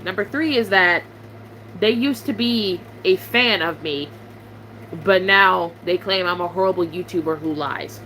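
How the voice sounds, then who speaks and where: A bright, sharp-toned young woman, venting to her viewers. She is blunt, sarcastic and fed up. A faint hum and hiss sit under the recording. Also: lowish voice, measured pace, low-quality recording. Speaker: YouTuber.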